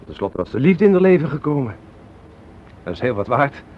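An actor playing an earnest, lovestruck young man in a radio play, speaking in a gentle, hesitant way. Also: medium pitch, big pitch swings, fairly quick, close to an everyday voice. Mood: puzzled, earnest. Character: earnest, lovestruck, mild young man walking his date home. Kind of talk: Dutch radio drama acting. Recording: muffled, noisy old radio play recording.

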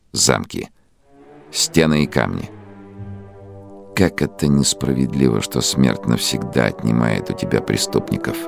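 An embittered, self-aggrandizing male dictator in a radio play. The voice sits in a rock-bottom bass with a gravelly grain. He broods aloud over old slights, with faint music behind him. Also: exaggerated voice. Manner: brooding monologue swelling into declamation. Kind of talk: radio drama interior monologue.

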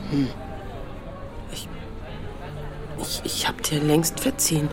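A woman's voice: sits low, flat delivery, takes it slowly, in a whisper. An actress playing a wary, needy grown daughter in her forties in an audio drama, terse and hesitant. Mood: pleading, defensive. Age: 40s.

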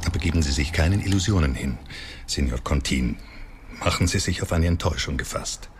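Very deep-voiced, formal justice official. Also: male voice, noisy background. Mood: cautioning. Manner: measured, matter-of-fact. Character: sober, formal male justice official, sceptical. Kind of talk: radio drama dialogue.

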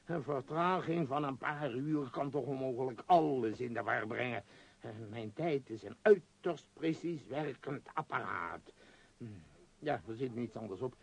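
A man's voice: mid-range voice, measured pace, vocal fry, slightly rough. An actor in a radio play voicing a domineering, self-pitying business magnate, blustering and muttering as he gives orders. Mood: exasperated. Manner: blustering, commanding, muttering hesitations.

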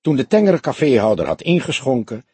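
Very husky male audiobook narrator. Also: mid-range voice, big pitch swings, fairly quick, dark tone, no room sound. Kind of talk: scripted crime novel narration.

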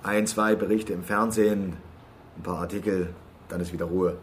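A man's voice: deep voice, steady tone, normal speed, a little hiss or hum, natural voice. An actor in a radio drama playing a pragmatic male activist who talks down to others in a resigned way. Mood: dismissive, resigned.

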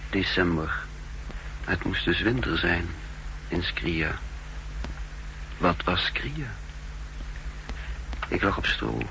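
An actor playing a dazed, brooding prisoner with memory loss, narrating slowly and gravely in a 1960s radio drama. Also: male voice, deep voice, heavily acted. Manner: slow, haunted, deliberate. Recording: noisy humming 1960s radio recording.

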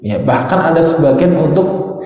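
An Indonesian man teaching an Islamic study class in a didactic way, pausing between short phrases, posing rhetorical questions and mixing in Arabic quotations. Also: a little high, expressive, dark tone. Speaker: Islamic teacher giving a religious study lecture. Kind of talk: religious lecture explaining a text, with Arabic quotations. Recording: very echoey hall, dull muffled sound.

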